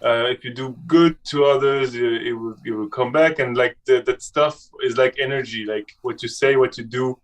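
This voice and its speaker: A young man from Switzerland, a guest telling his story in a casual conversation, halting and restarting often. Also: medium pitch, steady tone, distant mic. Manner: halting, with many restarts and fillers.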